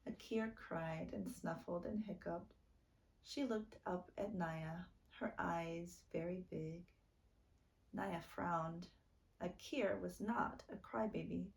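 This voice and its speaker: A woman reading a children's book aloud as a storytime narrator, expressive and deliberate. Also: fairly low pitch, creaky, low-quality recording. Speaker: storytime reader.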